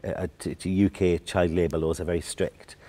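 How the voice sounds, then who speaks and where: A man's voice: low voice, level tone, a little fast, mellow. A middle-aged man with a Geordie accent, a choreographer chatting in an interview, telling his story with restarts and laughs. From Newcastle, England. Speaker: guest choreographer. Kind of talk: casual interview chat.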